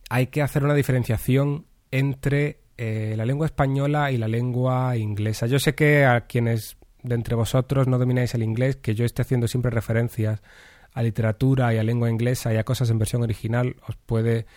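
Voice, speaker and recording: A man from Andalusia hosting a solo podcast, speaking with a neutral Castilian Spanish accent that softens his Andalusian one, in a measured, articulate way with careful diction. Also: mid-range voice, no echo, studio quality. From Andalusia, Spain. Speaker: podcast host. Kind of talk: solo podcast monologue answering listener questions.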